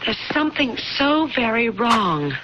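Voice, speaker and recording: Radio drama: pleading young wife, insistent and earnest, higher register, dull vintage broadcast sound. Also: female voice, big pitch swings, a little slow. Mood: anxious, insistent. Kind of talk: radio mystery drama acting. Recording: muffled, noisy old radio broadcast recording.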